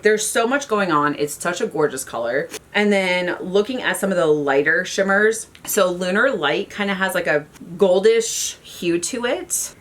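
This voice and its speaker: Young woman, low-pitched, nasal and congested, upbeat casual makeup-channel host. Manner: chatty and enthusiastic, gushing. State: congested, sniffly. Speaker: beauty YouTuber. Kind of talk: casual makeup review vlog.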